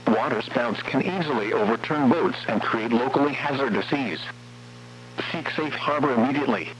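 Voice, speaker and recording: A synthetic male announcer reads weather warnings over the radio. The voice is mid-pitched and formal, with a measured, impersonal delivery. The sound is dull and band-limited, with a light layer of hum and noise. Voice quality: synthetic text-to-speech voice. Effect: radio broadcast. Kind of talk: scripted weather warning broadcast.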